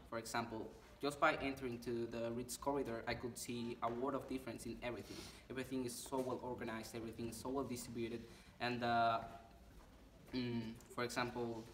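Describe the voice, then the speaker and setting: A man's voice: medium pitch, a bit bright, echoey room, far from the mic, slight background noise. A young man, earnestly explaining with examples in an interview. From Mexico. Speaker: exchange student. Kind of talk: casual interview answers.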